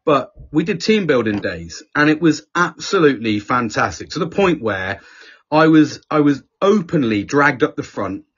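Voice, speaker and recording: Sweary, lively man telling a story. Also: medium pitch, normal speed, a little hiss or hum. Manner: animated, sweary, acts out quoted people. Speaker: podcast host. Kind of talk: casual storytelling chat. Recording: dull lo-fi podcast audio.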